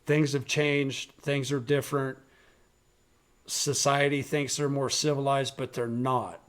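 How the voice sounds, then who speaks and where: A man hosting a podcast, talking bluntly and forcefully, swearing freely and raising his voice to make his point. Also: medium pitch, little pitch movement, measured pace. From rural western United States, raised on a ranch. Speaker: podcast host. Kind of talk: opinionated political talk.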